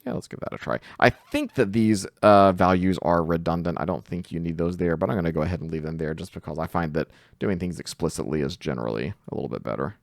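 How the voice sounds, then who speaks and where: Male tutorial host, low register with vocal fry, informal step-by-step explaining, close voice-over sound. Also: quick pace, few pauses, no echo. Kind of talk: casual screen-share tutorial narration.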